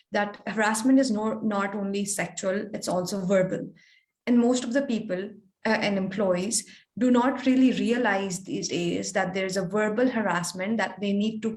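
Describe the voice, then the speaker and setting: A woman's voice: mid-range voice, echoey room. A woman moderating a webinar over a video call, posing a question politely with many restarts and hesitations.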